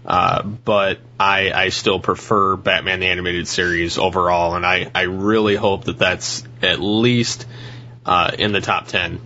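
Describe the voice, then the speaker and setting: Male podcast panelist, low forceful voice, hesitant phrasing, muffled lo-fi audio with some hiss. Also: flat delivery, measured pace. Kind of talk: casual discussion.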